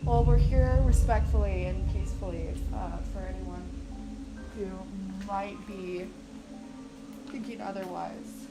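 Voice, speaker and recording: A woman investigator talks casually during a ghost hunt. Her pitch is average, and loud music covers much of the location audio. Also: fairly even intonation, a little slow, low-quality recording. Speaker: paranormal investigator.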